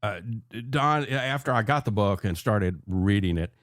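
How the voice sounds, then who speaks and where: A man's voice: fairly low pitch, animated, unhurried, a bit bright, no echo. An older man hosting a talk radio show in a chatty, folksy, conversational way from a close studio microphone.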